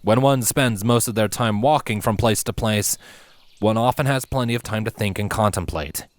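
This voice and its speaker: A man narrating a scripted story as a reader of fan fiction, with expressive, theatrical emphasis. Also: lowish voice, moderate pace, no room sound.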